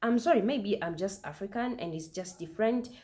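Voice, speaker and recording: A woman's voice: medium pitch, a little fast, mellow. A woman hosting a reaction video, giving opinionated commentary and firing off incredulous rhetorical questions. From Uganda.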